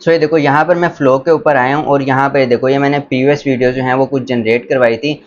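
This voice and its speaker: A man talks viewers through a screen tutorial casually and enthusiastically, dropping in English words. His voice is middling in pitch, on a dull recording with faint noise behind it. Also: fairly even intonation. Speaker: tutorial presenter.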